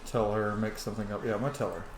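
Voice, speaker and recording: A man's voice: lowish voice, fairly even intonation, rapid-fire. A man giving casual commentary as he plays a game, reacting aloud in an informal way. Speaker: game streamer. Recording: echoey room, faint background noise.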